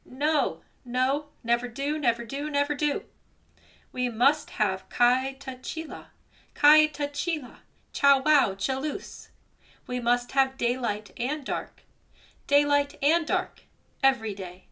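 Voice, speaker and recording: A woman voicing a scrawny, proud, stubborn little male ant in a spoken folktale, with a high squeak, shouting insistently. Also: big pitch swings, normal speed, heavily acted. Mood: squeaky defiant.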